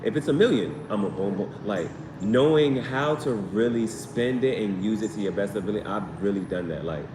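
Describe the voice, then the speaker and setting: A man hosting a casual live stream as a tarot reader, rambling with slangy asides and repeating himself as he goes. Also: a little fast. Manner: repetitive, tangential, slangy asides. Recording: roomy, distant mic, noisy background with vehicle rumble.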